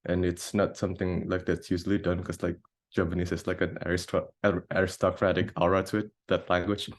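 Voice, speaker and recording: A man's voice: low voice, flat delivery, a little fast. A young man from Indonesia, a composer and grad student, introducing himself casually on a video call with many fillers and restarts. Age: young adult.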